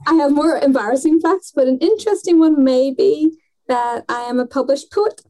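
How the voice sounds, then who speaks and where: A woman's voice: high voice, normal speed, mellow, low-quality recording. A woman mission worker in her thirties, speaking earnestly and thoughtfully in a conversational podcast.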